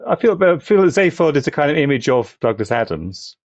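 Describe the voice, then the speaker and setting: A man's voice: on the high side, big pitch swings, brisk, dry recording, muffled recording. A man on a podcast panel, discussing and analysing casually in a tentative, searching, self-correcting way.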